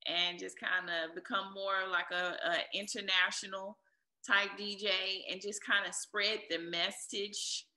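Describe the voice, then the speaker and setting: A woman's voice: mid-range voice, little pitch movement, unhurried, bright, forceful tone. A woman answering casually as an interview guest, talking in an encouraging, motivational way. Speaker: interview guest, air traffic controller and DJ. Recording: roomy, echoey sound.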